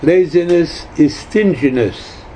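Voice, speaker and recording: Man in his nineties, slow halting speech, mellow tone, religious teacher, emphatic repetition, informal spiritual talk. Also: a little high, animated. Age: 90s. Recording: dull lo-fi recording, faint background noise.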